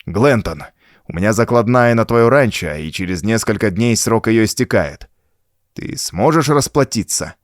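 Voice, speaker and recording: A man voicing a frightened, bullying old man in an audiobook, hurried, nervous and imperious. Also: fairly low pitch, expressive, no echo, clean studio sound, close to an everyday voice.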